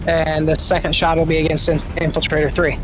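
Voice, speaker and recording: Guy with a pretty high voice playing a tabletop rpg, casual chat, music going behind him. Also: monotone, brisk. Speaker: tabletop game player.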